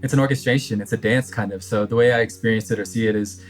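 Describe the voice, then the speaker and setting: A man's voice: on the low side, steady tone, fast talker, faint music behind. A man as a podcast guest, speaking reflectively and hesitantly, with many fillers as he thinks aloud. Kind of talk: reflective conversation.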